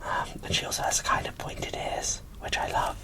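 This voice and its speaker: A woman whispering casual, gushing ASMR commentary as she presents an unboxing, in a breathy, informal way. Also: normal speed, sharp tone.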